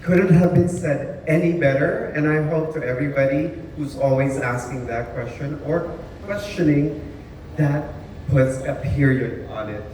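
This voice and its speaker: A man's voice: a little high, a little slow, darkish tone, a little hiss or hum. A man emceeing a press event, affirming the speaker with enthusiasm. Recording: echoey hall, distant, long reverb.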